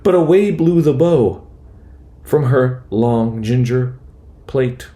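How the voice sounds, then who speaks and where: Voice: mid-range voice, expressive, takes it slowly, dark tone. A storyteller reading a children's picture book aloud with theatrical, rhythmic rhyming delivery. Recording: roomy, echoing voice.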